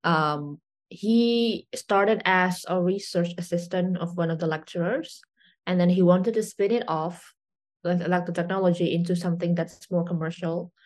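A young woman from Indonesia talks through her answers at an easy, unhurried pace. Her voice sits a little low. She pauses often on fillers and restarts her sentences as she searches for words. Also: fairly even intonation, darkish tone. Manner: hesitant, many fillers and restarts. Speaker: startup CEO guest. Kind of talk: conversational interview answers.

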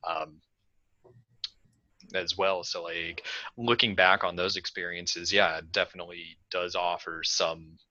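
A man's voice: sits low, fairly even intonation, a little slow, pushed and bright, no room sound, lo-fi sound. A man hosting an education podcast, talking casually with many "like" and "you know" fillers. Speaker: podcast host. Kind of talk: podcast interview conversation.

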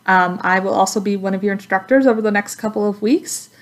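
A woman's voice: medium pitch, fast. A woman from Texas with an American accent, speaking as an instructor in a friendly, conversational introduction to students. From Texas, United States. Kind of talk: informal course introduction to students.

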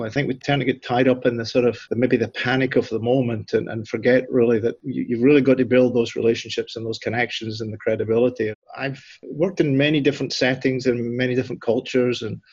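A middle-aged man with a Scottish accent gives considered answers in an interview, often restarting his phrases as he thinks. His voice sits at an ordinary pitch. The sound is close and dry, with a slightly dull, muffled quality. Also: a little mellow, breathy edge, dry recording. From Scotland. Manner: thoughtful, frequent restarts and repeated words. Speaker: institute director, guest.

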